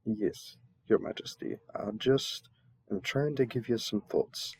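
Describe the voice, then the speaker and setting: A man's voice: on the low side, a little slow, darkish tone, husky, dry recording. A man playing a flustered, polite envoy mistaken for a servant, speaking hesitantly with stammers and deference in a roleplay audio drama. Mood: polite, reserved.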